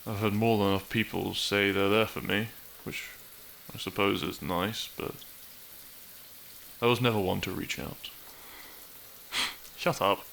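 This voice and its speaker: Man acting as low, gravelly, dry-witted best friend. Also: fairly even intonation, a little slow, long pauses, a bit bright, slight background noise. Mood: subdued, vulnerable. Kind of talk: intimate audio roleplay acting.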